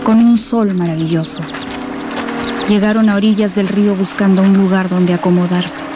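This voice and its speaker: A woman's voice: medium pitch, fairly even intonation, moderate pace. A woman reading dramatic scripted narration as the narrator of a Spanish-language radio novel. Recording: clear music behind the narration.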